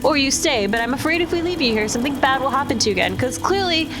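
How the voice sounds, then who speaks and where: High, animated woman player. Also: quick pace. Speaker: player in a tabletop role-playing game. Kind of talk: casual in-character game talk. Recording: music bed behind voice. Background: background music score.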